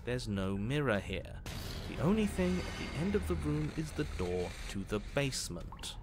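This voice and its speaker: An actor playing a stern, pompous narrator in a horror game, speaking in a measured, formal, insistent way. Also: male voice, mid-range voice, big pitch swings, lightly acted. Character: stern, pompous male narrator of a dark fairy tale. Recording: music under the voice.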